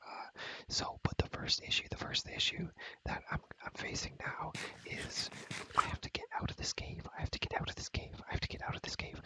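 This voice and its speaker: A young man speaks in a breathy, unvoiced whisper with a very bright, crisp edge. His ASMR delivery is casual and slangy, lively in its movement, and he often repeats a phrase several times while chatting through a game. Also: very high voice, low-quality recording. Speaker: ASMR video creator. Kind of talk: ASMR, casual gameplay chat.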